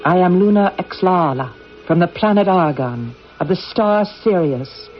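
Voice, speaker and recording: A woman playing a calm, imperious alien of superior intelligence in a radio drama, speaking in a measured, probing, commanding way. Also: fairly low pitch, soft background music. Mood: assured. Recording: muffled vintage radio recording.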